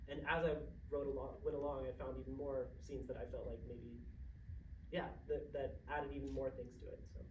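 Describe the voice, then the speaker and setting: A man's voice: medium pitch, flat delivery, brisk. Distant man answering audience questions, echoey room. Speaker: playwright at an audience talkback. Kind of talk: casual spoken Q&A. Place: indoor theatre room, mic far from speaker. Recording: roomy, distant mic, noisy hall.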